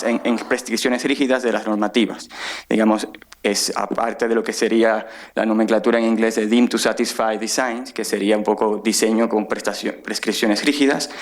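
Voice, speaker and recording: A man from the Dominican Republic giving an academic lecture as a guest professor, explaining things in an informal, conversational way. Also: medium pitch.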